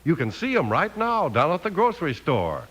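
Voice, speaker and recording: A man's voice: fairly high pitch, huge pitch swings, moderate pace, fairly bright tone. A man with an American accent reading as a scripted 1950s radio announcer. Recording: hissy old radio recording.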